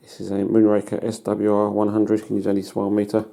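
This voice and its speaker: A British man giving a casual hands-on demonstration as a hobbyist, in a halting, matter-of-fact way. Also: lowish voice, monotone, creaky. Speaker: hobbyist presenter. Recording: noticeable background noise.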